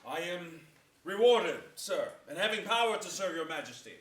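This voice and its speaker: A man's voice: sits high, sing-song, moderate pace, fairly bright tone. An actor playing a loyal noble lord counselling his king, formal and declamatory, in a Shakespearean stage reading. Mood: deferential. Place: theater stage before an audience, indoors. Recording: echoey theater, distant microphone.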